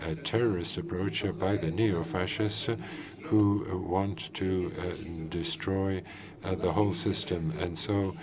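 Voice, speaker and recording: Halting low-voiced man in a conference hall. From Ukraine. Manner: halting, frequent uh fillers. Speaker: NGO coordinator speaking at a conference session. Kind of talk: formal statement read with many hesitations.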